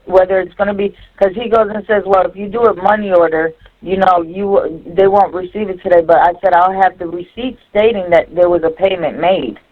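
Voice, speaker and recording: A woman's voice: lowish voice, steady tone, a little fast, hardly any pauses, narrow, tinny audio. A woman in a casual phone conversation, explaining and instructing step by step over a recorded jail line. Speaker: caller on a jail phone call. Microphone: telephone.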